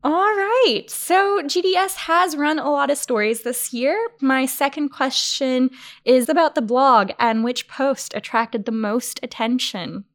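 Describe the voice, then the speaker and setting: A woman's voice: high-pitched, measured pace, fairly bright tone. A woman hosting a work podcast, running a playful quiz for her colleagues in an upbeat manner. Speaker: podcast host.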